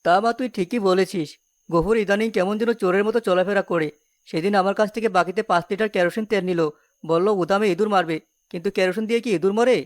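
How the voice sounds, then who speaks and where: A woman's voice: mid-range voice, fast talker, dry recording, close to an everyday voice. Woman as a wary village shopkeeper uncle, dry sound. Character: cautious, superstitious village uncle who runs a shop. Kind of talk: cartoon story dialogue.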